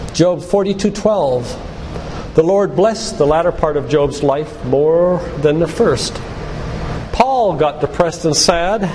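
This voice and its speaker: An older man giving an earnest, deliberate sermon-like talk with stories and read-out quotations. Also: on the high side, a little mellow. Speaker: physician and lay preacher giving a church talk. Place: church hall, live talk to congregation. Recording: reverberant church hall, background noise.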